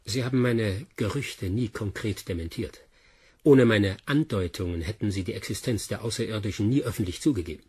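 A male actor playing a defiant, grave fugitive scientist with a husky voice in a sci-fi radio drama. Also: lowish voice, fairly quick, darkish tone. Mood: defiant accusing.

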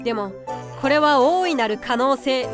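A woman's voice: high-pitched, expressive, moderate pace, music in the background. A woman voicing a small, confident unicorn guardian in an anime-style voice drama, boyish and theatrical. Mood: confident, persuasive. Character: small cute unicorn guardian beast, confident scheming mentor.

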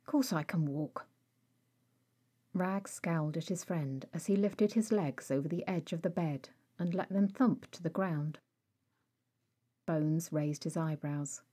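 A woman's voice: sits low, a little slow, mellow, breathy edge. A woman reading scripted fiction as a story narrator.

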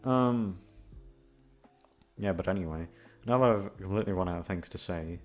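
A man's voice: low voice, moderate pace, darkish tone. A man rambling casually in a scattered, self-deprecating way, swearing freely as he chats to listeners. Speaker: audio roleplay creator. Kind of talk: casual rambling outro chat. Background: background music.

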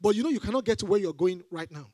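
A middle-aged preacher talks into a handheld mic from a church stage, his voice pitched high for a man. He swings into emphatic repeated lines as he drives his points home. The sound is close and dry, with little room echo. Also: rapid-fire. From Nigeria. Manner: animated, rising to emphatic repeated phrases. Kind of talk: sermon.

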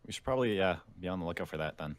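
A man's voice: low-pitched, expressive, very fast. A man playing a dry, easygoing survivor in an improvised game roleplay. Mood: dry, casual.

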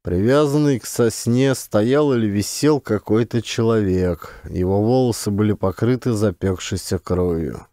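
Mellow male audiobook narrator, dry sound. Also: on the low side, a little slow, hardly any pauses, no echo. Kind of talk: scripted literary narration of a memoir.

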